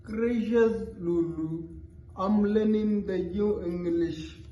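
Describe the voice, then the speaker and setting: A man's voice: sits high, slow pace. A man playing a cheerful puppet who is learning English, in a children's puppet show. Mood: friendly. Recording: dull, echoey room recording.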